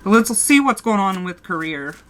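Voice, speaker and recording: A woman's voice: medium pitch, animated, normal speed, fairly bright tone, a little hiss or hum. A woman with a sore throat giving a casual tarot reading, talking straight to her viewers and often restarting her sentences. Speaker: tarot reader.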